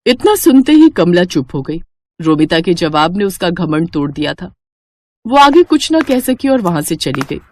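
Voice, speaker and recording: Indian female storyteller for scripted moral tales, expressive swinging intonation, lower register. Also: normal speed, a little hiss or hum.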